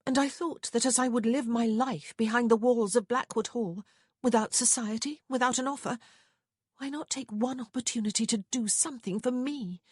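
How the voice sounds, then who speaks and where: Woman playing a frank young gentlewoman, high and husky. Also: normal speed, no echo, clean studio sound. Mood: earnest. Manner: flat, earnest, confessional. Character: frank, stubborn, smitten young gentlewoman of period romance.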